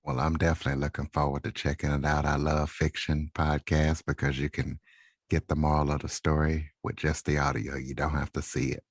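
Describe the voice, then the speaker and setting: A man with an extremely deep voice hosts a podcast interview. His intonation is flat and even as he puts questions to a guest. The recording is dry and close, free of echo. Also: brisk, husky. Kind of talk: interview hosting.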